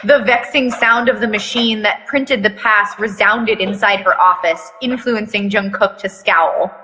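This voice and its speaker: Woman, bright forceful voice, mock-dramatic fanfic reading, sweary commentary, echoey space. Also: mid-range voice, a little slow, slight background noise. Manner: theatrical reading broken by blunt, sweary commentary. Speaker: fan fiction reader and commentator. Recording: echoey reverberant room.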